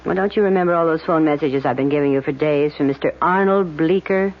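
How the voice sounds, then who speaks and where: An actress playing a lively, caring, teasing secretary to a lawyer in a vintage radio drama. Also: female voice, sits low, quick pace. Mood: concerned. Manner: teasing, expressive. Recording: dull, noisy old radio recording.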